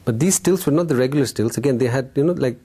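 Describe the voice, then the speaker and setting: A man's voice: mid-range voice, fast, warm tone, breathy edge. A man giving an informal, playful talk as a spiritual teacher, telling a story to a group and checking in with his listeners. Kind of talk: animated storytelling Dharma talk to a live group.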